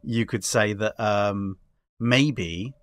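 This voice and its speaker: A man's voice: fairly low pitch, very slow, a bit bright. A man chatting casually as a guest on a fan discussion show, building long, jokey speculative explanations with stumbling starts.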